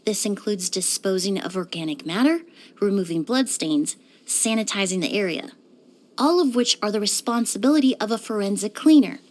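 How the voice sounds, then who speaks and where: A woman playing a composed, courteous young woman perfumer in a video game, speaking in a polite, measured, softly formal way. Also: medium pitch, lively intonation, close to an everyday voice. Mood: matter-of-fact, composed. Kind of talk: scripted video game character lines.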